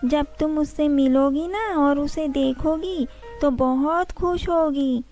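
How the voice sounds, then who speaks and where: Woman voicing an eager high-pitched little boy bunny. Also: steady tone, slow pace, warm tone, soft background music. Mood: eager, cheerful. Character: excited, eager young boy rabbit. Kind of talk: children's cartoon story acting.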